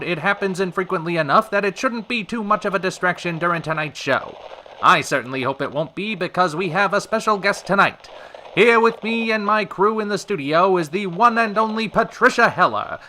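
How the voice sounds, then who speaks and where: A man's voice: high voice, sharp tone, exaggerated voice. A man playing a showy 1930s radio show host with a theatrical announcer delivery. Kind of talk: 1930s radio broadcast acting.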